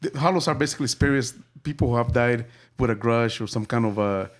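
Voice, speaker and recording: A man from El Salvador hosting a casual movie review podcast, talking in halting bursts full of fillers and restarts. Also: mid-range voice. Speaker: podcast host.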